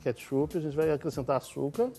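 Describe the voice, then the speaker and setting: A man in his forties from Minas Gerais, a guest chef calmly explaining a recipe step by step in a TV studio kitchen. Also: fairly high pitch, fairly quick, creaky, soft background music. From Minas Gerais, Brazil. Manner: relaxed, explaining step by step with small restarts. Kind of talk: cooking demonstration.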